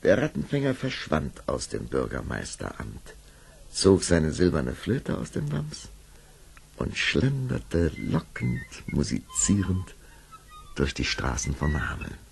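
A man narrating a children's fairy-tale audio play in an expressive storytelling manner. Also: extremely low pitch, takes it slowly, gravelly, faint music behind. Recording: vintage audio play production.

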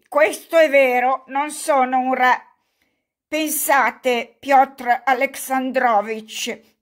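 A woman doing an old male buffoon from an audiobook, effusive and clownish. He talks loudly and at length, somewhat high in pitch. His delivery is slow and drawn out. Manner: loud, effusive, self-mocking clowning.

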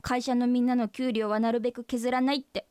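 A woman's voice: fairly high pitch, flat delivery, a little fast, mellow, clear, resonant tone, no room sound, studio quality, natural voice. An actress playing an earnest, forthright female office employee pressing her boss in a comic radio drama. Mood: accusing, insistent.

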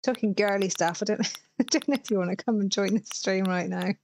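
A woman's voice: mid-range voice, fairly quick, darkish tone, no room sound. A woman in her thirties from Herefordshire with a Welsh-border English accent, chatting casually on a live stream while she plays a game and reads viewer messages aloud. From England (Herefordshire). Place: small room indoors. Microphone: headset.

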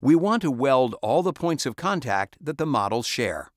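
Man narrating a software tutorial. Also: mid-range voice, normal speed, no room sound, studio quality. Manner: calm step-by-step instructions. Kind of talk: scripted instructional voice-over.

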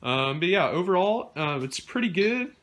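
A man's voice: high voice, very animated, normal speed, fairly bright tone, slight background noise. A young man casually narrating a DIY build in his home garage, talking with many ums and uhs while he films on a handheld phone. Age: young adult. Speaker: DIY vlogger.

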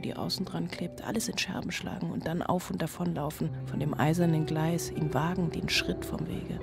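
A woman's voice: lowish voice, close to an everyday voice. An actress playing a weary, brooding single mother in a radio drama monologue, loving yet overwhelmed. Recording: music behind the voice.

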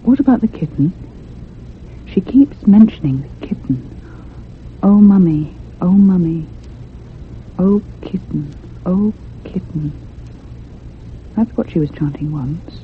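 A woman's voice: fairly low pitch, expressive, slow pace, long pauses. A young woman in a radio thriller, earnest and pressing as she questions. Her voice comes through thin and phone-like, over faint hiss. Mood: probing, shaken. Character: earnest, insistent young woman probing a ghostly mystery. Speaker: actor in a radio drama.

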